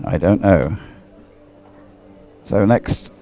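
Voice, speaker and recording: A man giving live race commentary in a low, very mellow voice. He thinks aloud in a hesitant, slightly puzzled way as he follows the boats. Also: drawn-out words. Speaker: sports commentator.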